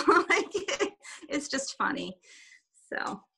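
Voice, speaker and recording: A woman's voice: high voice, sing-song, very slow, vocal fry, sharp tone. A woman whose first language is German and Swiss German, chatting casually as a guest on a video call, rambling a little with restarts. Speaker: guest artist.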